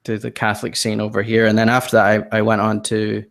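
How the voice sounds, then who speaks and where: Gravelly Scotsman with a softened accent. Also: on the low side, completely flat delivery, fast talker. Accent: Scottish, softened by years in the US. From Scotland. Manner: self-deprecating, hesitant, many ums and restarts, laughs often. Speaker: podcast guest, designer. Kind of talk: casual interview chat.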